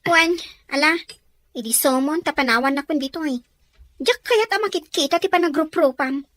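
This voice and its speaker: A woman's voice: sits high, normal speed, fairly bright tone, no room sound. A woman playing a spoiled, resentful schoolgirl in an audio drama, petulant and snappish. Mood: hostile, dismissive. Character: spoiled, haughty, resentful schoolgirl daughter Dorina. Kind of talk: Ilocano audio drama acting.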